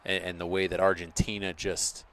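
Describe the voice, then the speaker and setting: A man's voice: deep voice, level tone, normal speed, a bit bright, a little hiss or hum. A man giving casual, rambling commentary on a school soccer match, restarting sentences as he talks. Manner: rambling, self-correcting, chatty. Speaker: play-by-play commentator.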